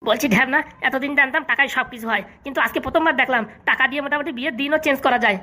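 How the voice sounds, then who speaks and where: A woman's voice: high voice, very fast, pushed and bright. A woman voicing a gullible, wealthy male politician in a comic cartoon, grumbling familiarly in a rural Bengali accent. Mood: grumbling, admiring.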